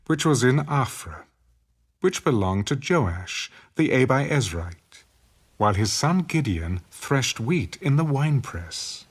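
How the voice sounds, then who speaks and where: A man's voice: mid-range voice, breathy edge. A man narrating dramatized scripture from a script, as the storyteller between the characters' lines. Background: music.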